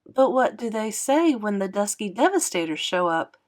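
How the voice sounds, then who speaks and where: A woman's voice: mid-range voice, big pitch swings, normal speed, close to an everyday voice. A woman voicing a curious, outspoken young boy in a storybook.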